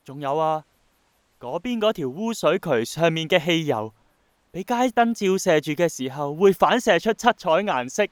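A man acting an idealistic young Hongkonger in a radio play. His fairly high voice carries a Hong Kong Cantonese accent and a passionate, persuasive earnestness. The sound is very dry, like a studio. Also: animated, moderate pace, clear, resonant tone, no echo, close to an everyday voice. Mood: passionate, wistful. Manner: passionate, persuasive, romantic-minded. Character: earnest dreamy young man obsessed with bringing back rain.

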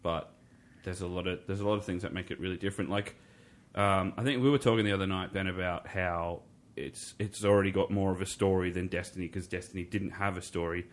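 Low-voiced man rambling about games. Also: steady tone, brisk. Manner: rambling, many fillers and restarts. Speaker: podcast co-host. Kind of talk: casual gaming chat.

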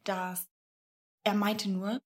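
A young woman co-hosting a casual podcast chat, loud and laughing, with frequent swearing. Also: mid-range voice, level tone, extremely slow. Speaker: podcast co-host.

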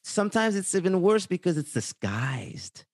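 A man from Haiti, a guest in a casual interview, speaking in an earnest, measured, slightly hesitant way. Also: sits high, big pitch swings, no room sound, studio quality.

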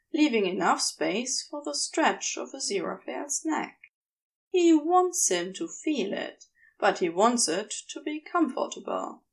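A woman reading scripted fiction as a narrator, in a soft, measured and expressive storytelling manner. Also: on the high side, vocal fry. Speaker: podfic narrator.

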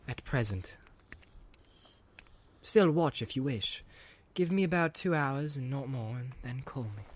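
A man voicing a weary, kind young male hero who speaks in a gentle, measured, polite way. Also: mid-range voice, lively intonation. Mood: calm. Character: weary, kindly young male hobbit leader.

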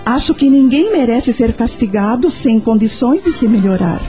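Actress doing devout brazilian mom in a radio drama, earnest, mellow highish voice. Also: female voice, expressive, normal speed, soft background music, close to an everyday voice. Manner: earnest, questioning. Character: devout, troubled young mother seeking answers. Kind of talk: radio soap opera acting.

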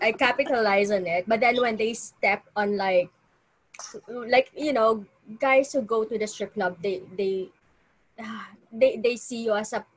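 A woman's voice: a little high, unhurried, rough recording. A woman from the Philippines with a Filipino accent, a guest telling stories in a casual panel chat, laughing often and acting out quotes. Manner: laughs often, animated storytelling with acted quotes. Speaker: guest, stripper.

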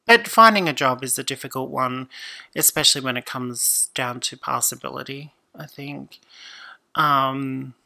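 A woman, a podcast guest talking casually and thoughtfully, often pausing and restarting. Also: very deep voice, vocal fry, pushed and bright. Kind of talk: casual conversation.

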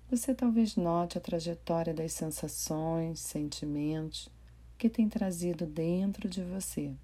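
A woman with a Brazilian accent guiding a meditation in a gentle, unhurried manner with pauses. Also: deep voice. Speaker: meditation guide. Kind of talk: guided body scan meditation.

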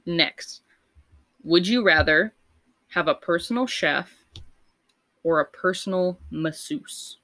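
A young woman with a low voice co-hosts a loose, casual podcast. She reads out poll questions and chatters between them, laughing often. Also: slow, pauses often, bright, forceful tone. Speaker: podcast co-host.

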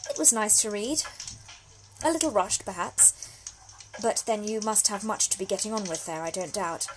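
A woman's voice: medium pitch, moderate pace, background noise, lightly acted. A woman playing a genteel, frank young Victorian letter writer in an audio drama monologue, speaking with formal, precise diction. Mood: gently teasing. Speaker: actor reading a solo audio drama. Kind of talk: period monologue, letters read aloud.

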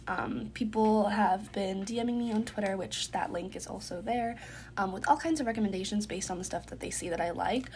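A young woman hosts her podcast in loose, rambling chat, her voice stuffy and congested with a phlegmy catch in the throat. She sits at a medium pitch. Steady noise hisses under the home recording. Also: vocal fry. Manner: rambling, filler-heavy, chatty. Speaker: podcast host. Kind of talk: casual solo podcast chat.

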